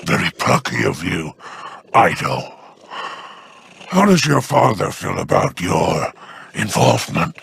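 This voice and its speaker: An actor playing a sly, smug alien crime boss in a video game, drawling with gravelly mock politeness. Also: male voice, sits low, sing-song, slow, pauses often, a bit bright, a little hiss or hum, exaggerated voice.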